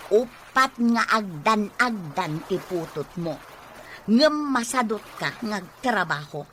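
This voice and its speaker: A woman's voice: mid-range voice, animated, slow, fairly bright tone. An actress with a Filipino Ilocano accent playing a fed-up, nagging wife and mother in a radio drama. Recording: noisy radio drama recording.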